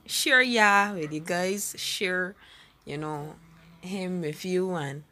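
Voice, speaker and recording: A woman's voice: fairly low pitch, slow, pushed and bright, a little hiss or hum. A woman with a Barbadian accent, chatting hesitantly with giggles and fillers about her faith as co-host. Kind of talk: casual faith chat.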